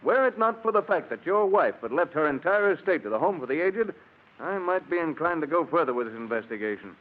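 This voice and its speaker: A man's voice: fairly high pitch, big pitch swings, fairly quick. A man playing a stern, skeptical district attorney in a 1940s radio drama, formal, probing and authoritative. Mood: stern, official. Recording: thin, phone-like old radio recording.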